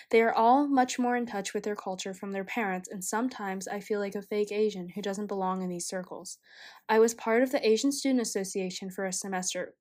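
A young woman in college, from China and raised in the United States, reading a quiet, reflective personal narration. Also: mid-range voice. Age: young adult. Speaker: college student.

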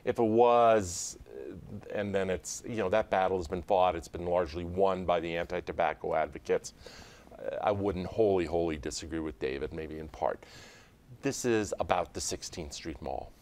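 Deep-voiced, measured male panelist. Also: a little mellow. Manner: measured and deliberate, building an argument. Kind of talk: televised political panel debate. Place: television studio desk.

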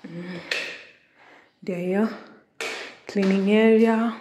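A woman's voice: medium pitch, steady tone, takes it slowly, mellow, a little hiss or hum. A young Kenyan woman vlogging casually, chatting as she films a house tour on her phone and slipping Swahili into her English. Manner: chatty, mixes Swahili into English, laughs often. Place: rented house, indoors, walking room to room. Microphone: phone camera held in hand.

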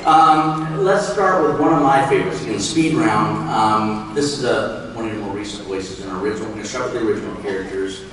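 A man's voice: fairly low pitch, fairly quick, hardly any pauses. A middle-aged man hosting an onstage interview as the panel moderator. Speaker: panel host. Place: large stage in convention hall, audience behind. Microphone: handheld mic, captured by distant audience camera. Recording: echoey hall from far off, noisy crowd.

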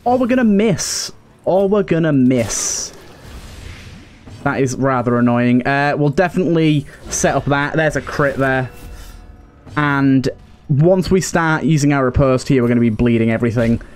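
A man's voice: mid-range voice, normal speed, darkish tone, soft background music. A man hosting a video game playthrough with casual, conversational commentary. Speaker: gaming host. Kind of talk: casual let's play commentary.

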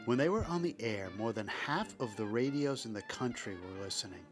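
A man's voice: mid-range voice, animated, brisk. A man delivering scripted documentary narration as the host. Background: music.

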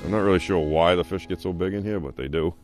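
A man in his thirties with a New England accent, a guest angler explaining his technique in a relaxed, unhurried way. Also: low-pitched, faint music behind. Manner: relaxed, unhurried, with fillers like "uh" and "you know". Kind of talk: casual explanation. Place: small boat on a pond, outdoors.

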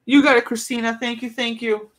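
A woman's voice: fairly high pitch, little pitch movement, a little fast, fairly bright tone. A woman in her thirties hosts a live clothing auction from a small bedroom at home. She describes each item in a loose, rambling way, full of fillers and asides. The sound is that of a webcam at her desk. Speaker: online auction host.

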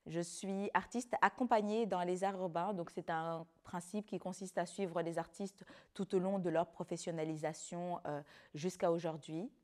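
A woman's voice: lowish voice, steady tone, normal speed. A woman slam artist, speaking as a spoken word performer. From Belgium (Brussels), of Rwandan descent. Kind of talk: spoken word performance alternating with interview talk.

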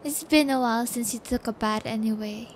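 A woman acting a sadistic young female captor in an ASMR audio roleplay, taunting and gloating with drawn-out, cruel menace. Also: a little high, a little hiss or hum, natural voice. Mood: gloating control.

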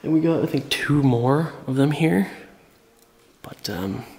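A man's voice: medium pitch, expressive, a little slow, a little mellow. A young man with a cold, chatting casually as he films himself walking through an abandoned house. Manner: enthusiastic, chatty, many fillers. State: sick with a cold. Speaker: urban explorer vlogger. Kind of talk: casual walkthrough narration. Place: empty abandoned house, indoors. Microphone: small camera held at arm's length.

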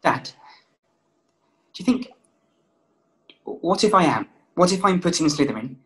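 A man's voice: fairly high pitch, animated, measured pace, a bit bright. A young actor playing an anxious eleven-year-old boy with a hesitant, worried way of talking, in a stage play read on video call. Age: young adult. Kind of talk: stage drama table read. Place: small room at home, video call.

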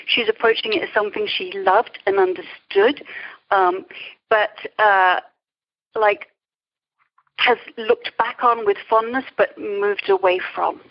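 Middle aged lady being interviewed, kinda hesitant and thoughtful, muffled lo-fi audio. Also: mid-range voice. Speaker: interview guest, creativity coach. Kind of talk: conversational interview answers. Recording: dull, lo-fi radio-interview sound.

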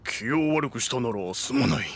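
A man voicing a sturdy gatekeeper in a game dub, speaking in gruff, formal guard speech over background music. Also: medium pitch, expressive, moderate pace, fairly bright tone. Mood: flustered, pleading. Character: sturdy male mansion gatekeeper, stern then flustered and pleading. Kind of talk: anime-style game dialogue acting.

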